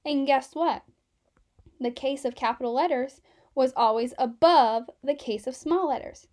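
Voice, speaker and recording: A woman reading a children's book aloud as an expressive, scripted narrator. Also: high-pitched, measured pace. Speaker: children's story reader.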